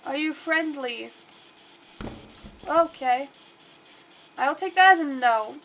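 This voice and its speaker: Woman streamer, stuffed-up nose, high voice, chatty rambling play-by-play. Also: lively intonation, slow pace, fairly bright tone, slight background noise. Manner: chatty and rambling, talks to the game aloud. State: congested. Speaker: livestreamer. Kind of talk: casual gameplay commentary.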